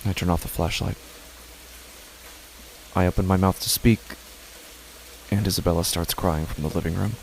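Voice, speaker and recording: A man narrating a first-person horror story in a measured, tense way. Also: deep voice, fairly even intonation, gravelly, a little hiss or hum, low-quality recording.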